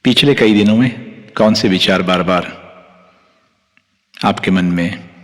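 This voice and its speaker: Indian male meditation guide, low voice, calm and soothing, very slow delivery with long pauses. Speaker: meditation teacher, Reiki master guiding yoga nidra. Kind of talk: guided meditation, instructing listeners. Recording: long hall-like reverb on the voice.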